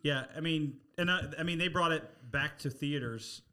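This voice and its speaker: Male podcast panelist, about forty, very bright sharp tone, slightly high pitch, casual. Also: normal speed. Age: 30s or 40s. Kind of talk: casual group chat.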